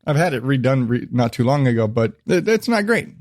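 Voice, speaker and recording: A man's voice: mid-range voice, very animated, a little fast, darkish tone, no echo. A man hosting his own podcast, chatting in a loose, rambling way and cutting himself off mid-thought. He swears easily and sounds like he is still shaking off a cold. The sound is close and dry, with no room around it. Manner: rambling, swears freely, self-interrupting. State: recovering from illness, lingering cough. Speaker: podcast host. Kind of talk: casual solo podcast chat.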